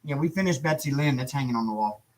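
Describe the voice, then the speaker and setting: A man with a hoarse, worn voice hosting a casual live chat stream, relaxed and talkative. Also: medium pitch, fast talker. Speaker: livestream host.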